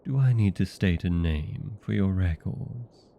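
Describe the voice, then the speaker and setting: A man playing a vain, playful, chatty old vampire in a comedic audio roleplay, with a gravelly, husky voice and theatrical, courteous manner. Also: low voice, measured pace, dark tone, slight background noise. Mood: earnest, chatty.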